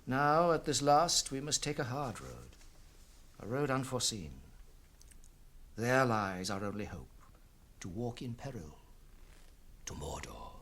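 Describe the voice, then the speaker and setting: An actor with a British accent playing a solemn, wise ancient elf lord in a radio fantasy drama, speaking in formal, weighty oratory. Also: male voice, medium pitch, big pitch swings, unhurried, pauses often, fairly bright tone. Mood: grave, resolute.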